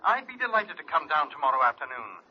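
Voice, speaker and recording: An actor playing a genial, fussy older school headmaster, effusive and chummy, in a 1940s radio mystery. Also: male voice, fairly high pitch, big pitch swings, quick pace. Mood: pleased, polite. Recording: muffled, noisy vintage radio recording.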